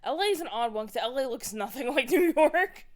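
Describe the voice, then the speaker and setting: A woman's voice: high voice, lively intonation, a little fast, a little mellow. A woman co-hosting a podcast in casual chat, chatty and roundabout, often chiming in. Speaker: podcast co-host.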